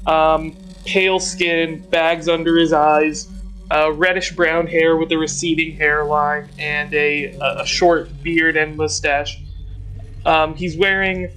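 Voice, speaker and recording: Higher-voiced man with flat, even delivery. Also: slow, soft background music, low-quality recording. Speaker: tabletop game player. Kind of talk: casual character description in a game.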